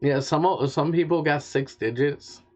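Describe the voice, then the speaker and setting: A man's voice: fairly high pitch, moderate pace, a little mellow. A man hosting a casual radio show, reading news items with many ums and restarts. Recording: dull lo-fi stream audio.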